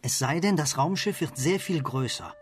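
Radio play: sceptical, inventive male scientist, played by an actor, fairly high voice, excitable, thinking aloud. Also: moderate pace, natural voice. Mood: tentative, brainstorming. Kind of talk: German radio play acting.

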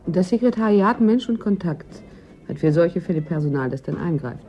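An actress playing a sharp-tongued, evasive woman agent in a radio drama, with a needling, guarded manner. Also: on the low side, animated, brisk, muffled recording, faint music behind, natural voice. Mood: guarded, composed. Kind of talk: German radio play acting.

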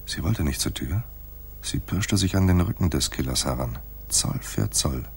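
An actor playing a wry, tough private detective in a hard-boiled radio play, husky and laconic, in dialogue and narration. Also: male voice, very deep voice, lively intonation, moderate pace, a little mellow, slight background noise. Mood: terse, tense. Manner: dry, understated.

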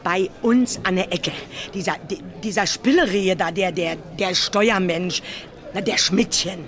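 A woman's voice: lowish voice, measured pace, soft background music. An actress playing a garrulous, nosy local woman in a radio crime play, colloquial with a Berlin accent and repeating herself in bursts. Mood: agitated, shocked. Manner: colloquial, garrulous, stammering repetitions when excited. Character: chatty, nosy working-class older local woman, crime witness.

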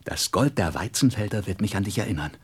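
An actor playing a gentle, wise and wistful fox in a radio play, speaking tenderly and deliberately and often dropping into whispers. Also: male voice, lowish voice. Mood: wistful longing. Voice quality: husky, breathy voice that drops into whispers. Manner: deliberate, expressive, tender storybook delivery.

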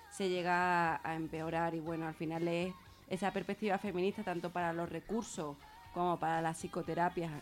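A woman with a Spanish accent from Spain speaking informally as a radio guest, explaining things reflectively and repeating words for emphasis. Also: lowish voice. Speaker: guest speaker on feminist therapy and gender violence.

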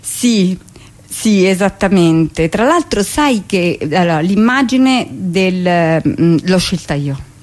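A woman's voice: on the low side, lively intonation, slow, a little mellow. A woman speaking as a guest in a conversational radio interview, with a digressive way of talking full of asides. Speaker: radio guest, theatre director and performer.

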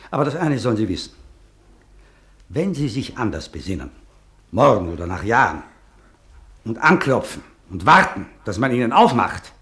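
A man's voice: medium pitch, fairly bright tone. A bitter, unforgiving man. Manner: solemn, bitter, rising to outrage. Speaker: former friend condemning the doctor. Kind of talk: 1950s radio play acting.

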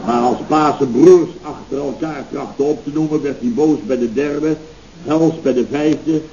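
Male actor as pompous contractor, dark soft-edged voice, grandiloquent, indignant, rambling, radio comedy, muffled old recording. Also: a little high, steady tone, a little slow, slight background noise, close to an everyday voice. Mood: blustering, evasive. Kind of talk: scripted radio comedy acting.

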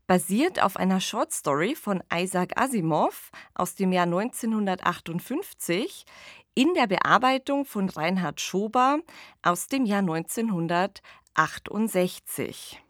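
A woman's voice: medium pitch, expressive, measured pace, no echo, clean studio sound. A woman hosting a podcast, giving a conversational introduction. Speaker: podcast host.

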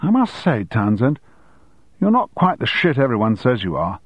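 A man's voice: medium pitch, wildly swooping pitch, normal speed, slightly rough, no echo, highs cut off, voice pushed up. A man voicing a pompous teenage head boy with an exaggeratedly proper, plummy British accent in an audiobook. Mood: condescending. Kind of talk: audiobook character dialogue.

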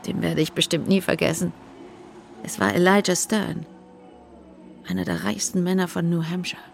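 Creaky-voiced, reticent witness. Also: female voice, fairly low pitch, sing-song, moderate pace, soft background music. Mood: grave, confiding. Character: reticent woman witness remembering her dead friend's secrets. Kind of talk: radio drama acting.

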